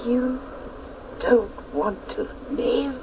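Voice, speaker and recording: An actor playing a stern, sorrowful guardian of a mountain crag, with slow, dramatic delivery in a radio play. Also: male voice, very high-pitched, animated, full character voice. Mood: troubled, grave. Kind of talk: theatrical radio play acting. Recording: noisy old radio play recording.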